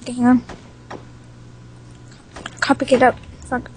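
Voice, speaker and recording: A woman's voice: a little high, completely flat delivery, unhurried, darkish tone, a little hiss or hum, low-quality recording. A woman talking casually with a friend over voice chat while gaming, chatty and hesitant, full of fillers. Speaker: gamer.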